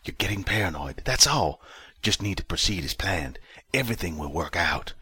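A man's voice: lowish voice, lively intonation, normal speed, bright, forceful tone, husky, exaggerated voice. A man voicing an anxious male spider spy in an audiobook, muttering tense reassurances to himself. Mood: uneasy. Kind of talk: audiobook character dialogue.

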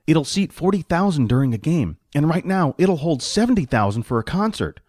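Man voicing a streetwise, raised-pitch hired hand. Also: sing-song, brisk, a little mellow, no room sound, clean studio sound. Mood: reassuring. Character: streetwise, money-minded male hired hand, pragmatic and impatient.

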